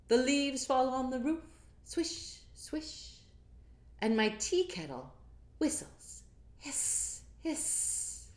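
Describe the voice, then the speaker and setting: A woman's voice: high-pitched, big pitch swings, darkish tone, roomy sound. A woman reading a picture book aloud to children in a sing-song, encouraging way, with asides to her young listeners. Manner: encouraging, interactive, drawn-out sing-song phrasing.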